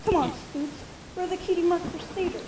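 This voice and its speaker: A woman's voice: very high voice, fairly even intonation, brisk, reverberant, background noise. A woman playing a young filly pony, a schemer with a tormented streak, in a fan fiction audio drama. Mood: cold, plotting.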